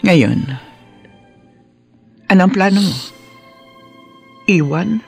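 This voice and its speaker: An actress playing a stern, sharp-tongued but devoted older mother in a radio drama, with a Filipino accent and a blunt, admonishing manner. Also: medium pitch, animated, drawn-out words, vocal fry, slightly rough, faint music behind, exaggerated voice. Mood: hurt, reproachful.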